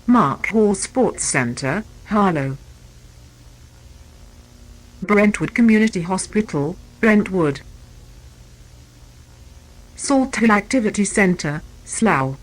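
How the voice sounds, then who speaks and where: Woman emergency announcer, formal and impersonal, scripted alert, very up and down pitch. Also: animated, slow, long pauses, rough recording.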